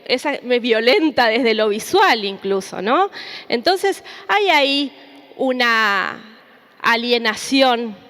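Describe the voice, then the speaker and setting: Woman giving an informal talk, voice a bit high, echoey hall, chatty and reflective. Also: fairly bright tone. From Argentina or Paraguay border region. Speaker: academic, activist and book contributor presenting at a book launch. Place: indoor hall at a public book presentation. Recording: reverberant hall.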